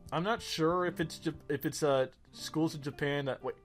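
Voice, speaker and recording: Guy hosting a game playthrough, kinda high voice, rambles and corrects himself, soft guitar music under it. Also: moderate pace. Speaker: host of a let's play. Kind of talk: casual game commentary.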